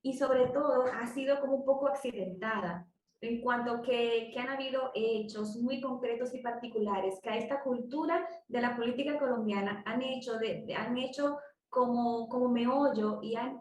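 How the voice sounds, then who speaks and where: A woman from the Dominican Republic, a psychology student, giving a measured class presentation with frequent restarts. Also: fairly high pitch, darkish tone, a little hiss or hum. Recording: echoey room, distant mic.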